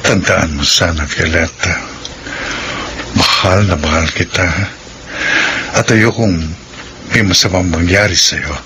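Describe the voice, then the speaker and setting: An actor playing a gentle, devoted suitor in a Filipino radio drama, speaking tenderly and shyly. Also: male voice, sits low, slow, pauses often, a little hiss or hum, heavily acted. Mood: tender, hesitant.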